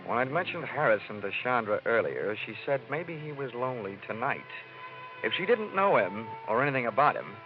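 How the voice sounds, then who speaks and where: An actor playing a wisecracking hard-boiled American investigator, deadpan and flirtatious, in a 1950s radio detective drama. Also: male voice, medium pitch, fairly quick, natural voice. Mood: wry, reflective. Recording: dull 1950s radio recording, music behind.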